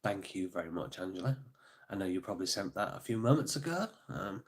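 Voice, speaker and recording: A man's voice: low voice, expressive, brisk. A middle-aged man hosting a casual live stream, a bit bunged up and sniffly, thanking viewers by name with plenty of ums. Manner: chatty, thanks viewers by name, many fillers. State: congested, sniffly.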